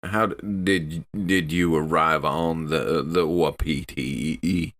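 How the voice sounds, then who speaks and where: Man playing a deep, slow, stammering crewman. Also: fairly even intonation, fairly bright tone, exaggerated voice. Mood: hesitant, questioning. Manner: hesitant, stammering, polite. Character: confused, courteous spaceship crewman, growing frustrated. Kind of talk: tabletop role-play acting.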